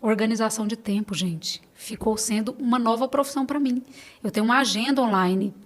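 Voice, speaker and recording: A woman's voice: a little high, a little fast. A Brazilian woman, a podcast guest, talking casually and personally, repeating phrases for emphasis. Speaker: podcast guest, business owner.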